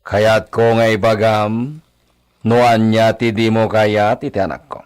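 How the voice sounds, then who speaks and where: A man's voice: lowish voice, flat delivery, a little slow, clean tone, natural voice. A man playing a wealthy, doting father and villa master in a radio comedy drama, theatrical and fond of Spanish exclamations. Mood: calm, authoritative.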